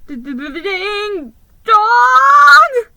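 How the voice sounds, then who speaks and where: A woman's voice: very high voice, big pitch swings, very slow, very noisy, voice pushed up, heavily acted. A woman voicing a timid, easily frightened male mouse in a children's storybook, stammering and fretting dramatically. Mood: panicked. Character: timid, panicky male mouse newspaperman, fretful and easily scared.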